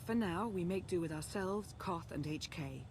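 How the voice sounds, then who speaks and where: An actress playing a composed, earnest female Sith strategist and loyal ally in a video game, speaking in a measured, formal, articulate way. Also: lowish voice, expressive, a little mellow, a little hiss or hum. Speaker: advisor and ally. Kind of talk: scripted game dialogue, briefing.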